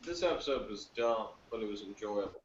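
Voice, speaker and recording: A man's voice: lowish voice, animated, fairly quick. A man co-hosting a casual review podcast, speaking in a blunt, sardonic way. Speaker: podcast co-host reviewing a TV episode. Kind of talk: casual chat. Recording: distant, echoey room, dull and noisy.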